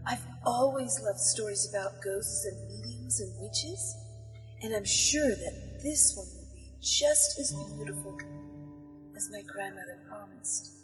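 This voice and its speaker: A woman's voice: medium pitch, wildly swooping pitch, measured pace, over music. A woman playing a polite, eager young visitor in an audio drama, speaking earnestly and dreamily. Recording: distant mic in reverberant hall.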